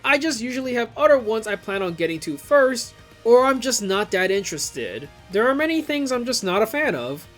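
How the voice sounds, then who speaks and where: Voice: medium pitch, big pitch swings, normal speed, hardly any pauses, soft background music. A video creator giving scripted commentary in an informal, wry way. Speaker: video creator reviewing visual novels.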